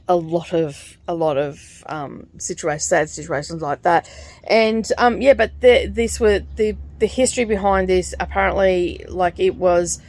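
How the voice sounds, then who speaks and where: A woman's voice: fairly low pitch, vocal fry, a little mellow, dry recording. A woman talking casually in a book review vlog, rambling and hesitant with many fillers. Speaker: book vlogger.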